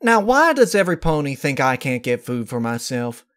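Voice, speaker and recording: A man's voice: mid-range voice, big pitch swings, moderate pace, a bit bright, clean tone, voice pushed up. A man voicing an easygoing, stubborn middle-aged country mother pony in a story reading, folksy and plain-spoken. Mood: good-humored. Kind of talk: dramatized fan-fiction reading.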